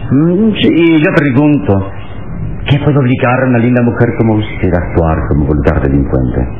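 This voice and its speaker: An actor playing a suave, unflappable adventure hero in a radio serial, measured and theatrical, with thoughtful murmurs. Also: male voice, mid-range voice, expressive, close to an everyday voice. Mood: suave, unruffled. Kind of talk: Spanish-language radio serial acting. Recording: tinny, noisy old radio serial recording.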